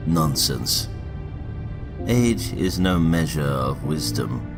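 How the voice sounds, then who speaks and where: A man playing an elderly, manipulative statesman in a fan audio drama, grave and deliberate, with a gravelly, insinuating delivery. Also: a bass voice, expressive, music in the background, full character voice. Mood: flattering, persuasive.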